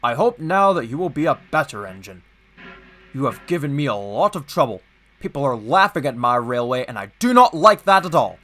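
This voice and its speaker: A man playing a stern, pompous railway boss in a children's story, measured and authoritative. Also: medium pitch, sing-song, a bit bright, faint music behind.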